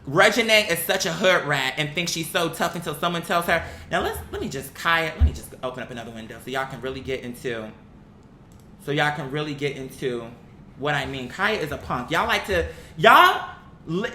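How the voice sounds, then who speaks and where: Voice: big pitch swings, normal speed, pushed and bright. A young adult commentator giving a blunt, exasperated opinion rant in casual, sweary language, repeating phrases for emphasis. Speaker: online commentator. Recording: echoey room with faint rumbling noise.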